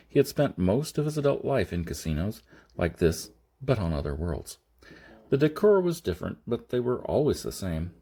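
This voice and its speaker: Male audiobook narrator for science fiction, husky and animated in pitch, slightly halting read. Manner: halting, stumbles and rereads phrases. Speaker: audiobook reader.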